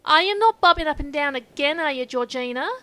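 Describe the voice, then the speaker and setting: A woman playing a brash, dim, indignant Australian show presenter in an audio comedy, loud and matey. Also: sits high, lively intonation, a little fast.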